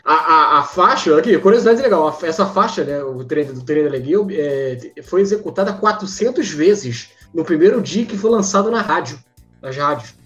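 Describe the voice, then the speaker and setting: A man's voice: fairly high pitch, lively intonation, normal speed, fairly bright tone. A Brazilian man chatting casually and dropping bits of trivia between fillers. His voice comes through a muffled mic in an echoey room, with faint music behind. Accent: Brazilian Portuguese. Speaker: podcast co-host. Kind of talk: casual chat about cartoons.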